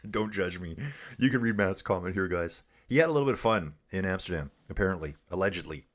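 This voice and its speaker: A man giving relaxed livestream cycling commentary, reading viewers' chat aloud and calling them guys and dude. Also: low-pitched, fairly quick. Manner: chatty, says "guys" and "dude" often. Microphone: earbuds.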